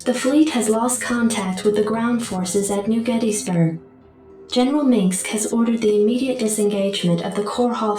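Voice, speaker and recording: A woman voicing a calm female military computer adjutant who gives formal briefings in a video game. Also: medium pitch, lightly acted. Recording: echoey, distant comms voice.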